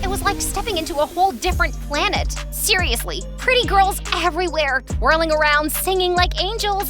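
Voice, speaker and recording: A young woman narrating her own cartoon story in a chatty, playful, sarcastic way. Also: very high-pitched. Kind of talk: scripted first-person cartoon narration. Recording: narration over music.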